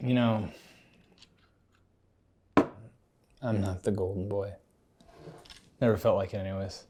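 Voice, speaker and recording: Actor as a defensive, skeptical big brother. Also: male voice, lowish voice, steady tone, normal speed, warm tone, slight background noise, lightly acted. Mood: subdued, sincere. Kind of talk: naturalistic dramatic dialogue.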